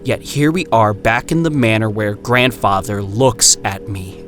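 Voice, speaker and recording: Man reading as slow, ominous horror storyteller. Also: on the low side, lively intonation, fairly bright tone. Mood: brooding. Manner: measured, poetic, ominous pauses. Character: grim, bitter narrator reading an eerie first-person horror tale. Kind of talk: dramatic literary reading. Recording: music behind the voice.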